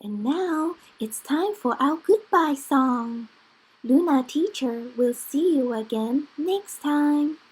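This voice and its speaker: High-pitched woman teaching little children. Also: expressive, takes it slowly, darkish tone, faint music behind, poor audio. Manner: simple, short encouraging instructions. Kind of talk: children's lesson presenting.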